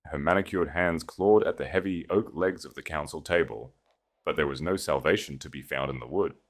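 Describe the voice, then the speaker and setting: A male narrator with a low-pitched voice reads a scripted story. The delivery is dramatic, in the manner of an audiobook. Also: fairly quick.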